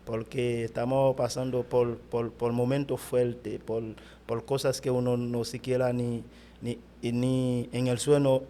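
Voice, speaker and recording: A middle-aged Haitian man, a pastor whose first language is Haitian Creole, speaking Spanish haltingly with a Haitian accent in an interview. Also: mid-range voice, little pitch movement, dark tone, a little hiss or hum. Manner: halting, searching for words in Spanish. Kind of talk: interview answers.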